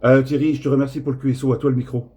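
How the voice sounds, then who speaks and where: A man chatting casually as an amateur radio operator, talking in a rambling, hesitant, friendly-paced French radio-contact style with a husky voice. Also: mid-range voice, little pitch movement, very fast, mellow.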